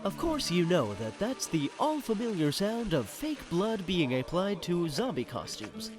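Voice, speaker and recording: A man playing a chatty insider who narrates a high school comedy, confiding directly in the listener. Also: wildly swooping pitch, a little slow, exaggerated voice. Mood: wry, animated. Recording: music behind the voice.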